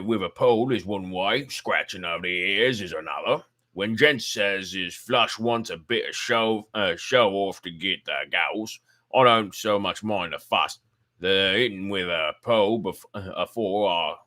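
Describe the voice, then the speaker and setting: Guy reading a gruff old zookeeper, loud, stumbles on the dialect words, lowish voice. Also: unhurried. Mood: gruff, hearty. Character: gruff elderly male zookeeper, blunt and rough-spoken. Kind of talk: amateur audiobook reading.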